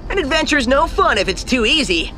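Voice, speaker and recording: Casting: cocky young hedgehog game hero, high and animated, breezy, music behind. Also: male voice, a little fast, full character voice, low-quality recording. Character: cocky, heroic teenage male cartoon hedgehog. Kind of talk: animated video game cutscene acting. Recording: background music under dialogue.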